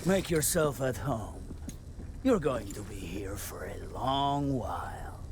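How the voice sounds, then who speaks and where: A man's voice: mid-range voice, lively intonation, takes it slowly. An actor playing a smug, mocking jailer who taunts his prisoners in a video game cutscene. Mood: smug, taunting. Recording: clear background noise.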